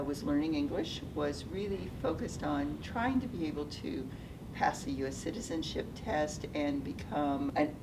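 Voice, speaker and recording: A woman in her seventies, Japanese as her first language, telling her life story thoughtfully, often restarting her sentences. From United States (Seattle). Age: 70s. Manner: thoughtful, halting, with frequent restarts. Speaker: interviewee, retired nonprofit CEO. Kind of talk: reflective personal storytelling. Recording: distant mic with low rumbling noise.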